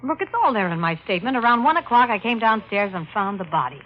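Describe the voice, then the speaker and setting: Brash, flippant radio-noir widow played by an actress. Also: female voice, medium pitch, animated, quick pace, close to an everyday voice. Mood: irritated, defensive. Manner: blunt, slangy, flippant. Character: brash, mocking, unsentimental gold-digging widow. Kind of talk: old-time radio crime drama acting. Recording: muffled old-time radio recording.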